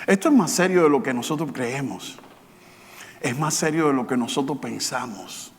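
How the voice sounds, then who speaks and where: A man preaching a sermon as pastor, with emphatic repetition, rising to loud passages and dropping to quiet, slow ones. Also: mid-range voice, lively intonation. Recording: long hall reverb.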